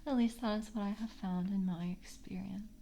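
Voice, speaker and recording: A woman voicing a calm, jaded vampire screener in an ASMR roleplay, speaking softly in a breathy half-whisper with a measured, politely official manner. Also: mid-range voice, slight background noise, close to an everyday voice. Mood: calm, world-weary. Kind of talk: soft-spoken ASMR roleplay.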